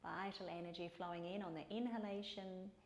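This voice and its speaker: A woman teaching yoga and guided meditation in a calm, soothing way, with unhurried pauses between phrases. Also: lowish voice. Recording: echoey room.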